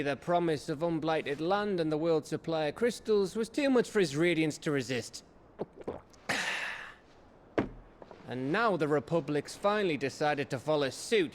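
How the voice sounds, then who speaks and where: A man with a British accent playing a cheeky, rough-mouthed scout and loyal pal in a fantasy game, chummy and irreverent. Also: a little high, a little hiss or hum. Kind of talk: dramatic fantasy game acting.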